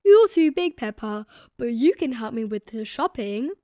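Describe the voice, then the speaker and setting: A woman voicing a jolly, patient father pig in a children's storybook, speaking in a deliberate, encouraging way. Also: a little high, very animated, a little mellow, no echo, clean studio sound.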